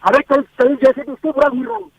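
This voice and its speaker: A man's voice: extremely high pitch, fairly even intonation, fast, no room sound. A man arguing on a spontaneous phone call, irritated and combative. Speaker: prank call recipient.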